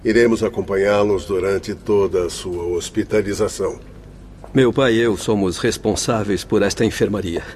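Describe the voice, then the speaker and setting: Actor as a kindly, low-voiced doctor. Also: male voice, fairly even intonation, normal speed, darkish tone, a little hiss or hum, lightly acted. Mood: kindly, courteous. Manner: reassuring, formal. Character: kindly, courteous male doctor of spirit hospital. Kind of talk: radio drama acting.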